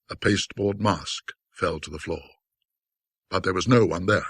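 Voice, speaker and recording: A man narrating classic ghost stories in a theatrical, old-fashioned literary style, with a wry, scholarly, self-deprecating manner and dramatic pauses. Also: fairly low pitch, a bit bright, husky, no room sound, clean studio sound.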